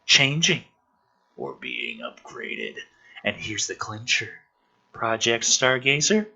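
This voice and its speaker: A man reading the part of an intense scientist earnestly explaining a theory from a film script, in a measured, hushed, deliberate way. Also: fairly low pitch, wildly swooping pitch, creaky, husky, muffled recording, pitched below the performer's own voice, heavily acted.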